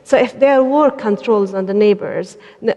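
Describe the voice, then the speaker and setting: A woman from Afghanistan speaking as a panelist, pausing often with fillers and restarting her sentences. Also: mid-range voice, mellow. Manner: hesitant, many fillers and restarts. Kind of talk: panel talk. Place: large church hall. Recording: long hall reverb.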